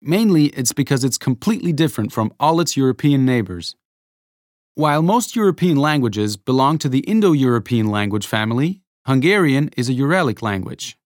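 Man narrating with lively pitch. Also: normal speed, no echo, clean studio sound. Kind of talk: scripted educational narration.

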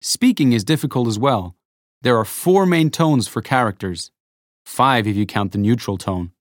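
A man reading a scripted educational narration, explaining clearly and adding rhetorical asides. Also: medium pitch, animated, moderate pace, no echo, studio quality.

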